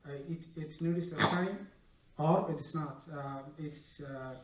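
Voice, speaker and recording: A man from Somaliland with a Somali accent, asking a question from the floor in a halting, fragmented, repetitive way. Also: a little high, fairly even intonation. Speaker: audience questioner. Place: indoor conference room. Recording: echoey room, distant mic, faint noise.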